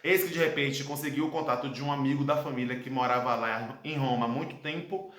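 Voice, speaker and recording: A Brazilian man in his thirties speaks at an ordinary, mid-range pitch, telling his own story in an earnest, reflective way. He leans on dramatic pauses as he goes. The room around him rings with a noticeable echo. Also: fairly even intonation, fairly bright tone. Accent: Brazilian Portuguese. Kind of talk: personal testimony monologue. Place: his home, indoor room. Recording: echoey room.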